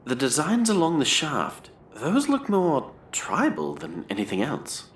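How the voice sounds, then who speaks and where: A man's voice: a little high, huge pitch swings, unhurried, roomy sound, a little hiss or hum. An actor playing a morbid, devoted male grave-robbing companion in a horror audio drama, with a dramatic, eager manner.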